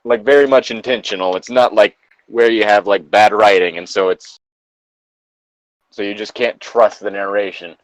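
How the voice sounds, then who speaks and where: A man's voice: lowish voice, steady tone, moderate pace, dry recording, poor audio. A man co-hosting a casual comic read-aloud, swearing freely and commenting in an incredulous, easily amused way. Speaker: podcast co-host reacting to a story.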